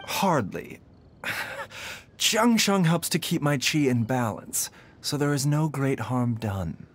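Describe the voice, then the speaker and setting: An actor playing a gentle, composed male doctor in an anime-style video game, speaking softly and politely in a measured way. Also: medium pitch, very animated. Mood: reassuring.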